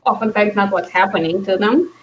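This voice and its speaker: A woman in a casual book discussion, thinking aloud in a hesitant, halting way as she hosts. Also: mid-range voice, slight background noise, low-quality recording. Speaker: book club host.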